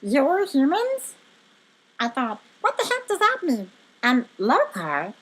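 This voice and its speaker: A woman voicing an elderly male stuffed rabbit, a chatty, excitable storybook adventurer who tells his tale with exclamations. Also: a little high, a little slow, husky, full character voice, rough recording. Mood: excited, bewildered.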